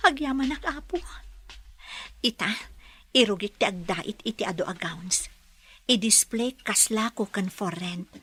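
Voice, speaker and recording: A woman's voice: mid-range voice, measured pace, long pauses, sharp tone, slightly rough. A woman with an Ilocano accent playing a polite, grateful boutique owner in a radio drama. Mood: grateful, hopeful. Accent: Filipino, Ilocano.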